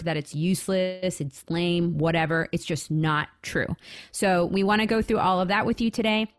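A woman's voice: on the low side, dry recording, clean studio sound. A young woman with a Floridian American accent co-hosting a podcast, upbeat and chatty. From England. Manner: upbeat, chatty, laughs easily. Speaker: podcast co-host. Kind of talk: casual chat.

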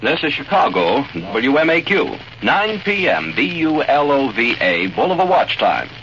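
A man's voice: mid-range voice, big pitch swings, a little slow. An American man reading station identification and time in 1940s radio announcer style. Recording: muffled 1940 radio recording, faint noise.

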